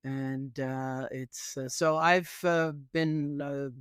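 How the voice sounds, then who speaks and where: A middle-aged man from Newfoundland, Canada, answering interview questions as an education professor in an earnest, animated way with frequent fillers. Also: mid-range voice, takes it slowly, clear, resonant tone, no echo, clean studio sound.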